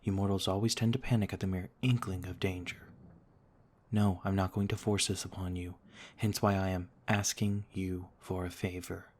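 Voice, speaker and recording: Man as a low, even-toned noble vampire. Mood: calm, confiding. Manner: gentle, formal, measured. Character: courteous, caring noble vampire lord, male, calm protector. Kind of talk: ASMR roleplay acting.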